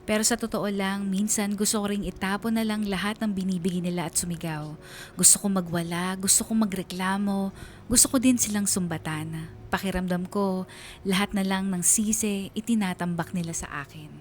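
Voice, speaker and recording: A woman's voice: mid-range voice, fairly even intonation, normal speed, a little hiss or hum, close to an everyday voice. A woman playing a weary, anguished letter writer, narrating a scripted radio drama in a confessional, sorrowful manner.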